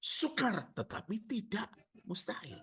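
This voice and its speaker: A man's voice: sits high, very animated, a little slow, bright, forceful tone, husky. A male pastor with a Javanese accent preaching a sermon, asking the congregation rhetorical questions and joking.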